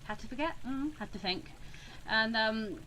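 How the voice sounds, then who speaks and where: A woman's voice: a little high, lively intonation, normal speed, pushed and bright, slight background noise, low-quality recording. A woman hosting a gaming playthrough with casual, chatty and apologetic commentary. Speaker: gaming video host.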